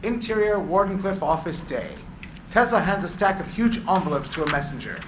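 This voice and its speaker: A man's voice: high-pitched, animated, brisk, echoey room, background noise. A man reading screenplay narration and stage directions at a live script reading.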